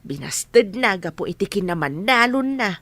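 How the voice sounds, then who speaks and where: A woman's voice: medium pitch, lively intonation, moderate pace, a bit bright, no room sound. An actress playing a fretful village mother in an Ilocano radio drama. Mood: worried. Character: fretful village mother worried about her grown child.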